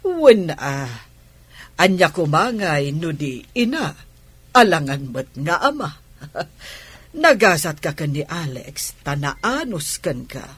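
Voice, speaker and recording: A woman with an Ilocano Filipino accent playing a frank, maternal and chiding middle-aged auntie in a radio drama, in a husky voice. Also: sits low, sing-song, slow pace, a bit bright, no echo, heavily acted. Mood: teasing, knowing. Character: frank, watchful older female relative, chiding and maternal.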